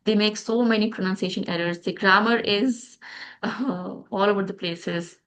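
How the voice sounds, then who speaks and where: A woman with an Indian accent hosting an English-learning channel, interviewing in a measured, encouraging, teacherly way. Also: mid-range voice, fairly even intonation, a little hiss or hum, low-quality recording.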